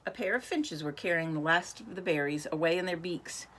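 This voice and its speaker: A woman's voice: on the low side, fairly quick, fairly bright tone, a little hiss or hum. A woman reading a picture book aloud expressively, now and then speaking to young listeners. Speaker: reader.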